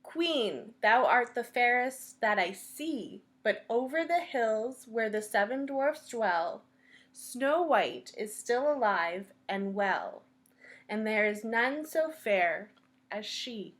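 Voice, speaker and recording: A woman's voice: fairly high pitch, animated, a bit bright. A woman voicing a solemn, truthful magic mirror that speaks in formal, archaic storybook rhyme. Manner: formal archaic verse, measured delivery. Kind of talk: storybook read-aloud.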